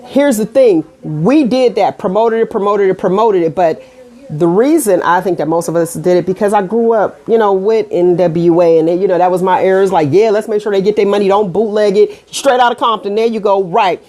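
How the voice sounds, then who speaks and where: A middle-aged American woman hosting a casual online show, chatting to viewers in an animated, colloquial way with frequent asides. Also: mid-range voice, fairly quick. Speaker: online radio show host. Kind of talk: casual livestream talk to viewers.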